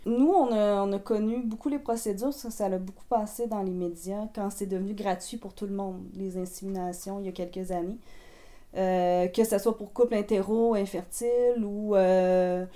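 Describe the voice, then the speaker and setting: A woman's voice: mid-range voice, steady tone, moderate pace, mellow. A pregnant woman with a Québécois accent, answering interview questions in casual, hesitant talk full of restarts. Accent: Québécois French. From Quebec. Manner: hesitant, many fillers and restarts. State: eight months pregnant.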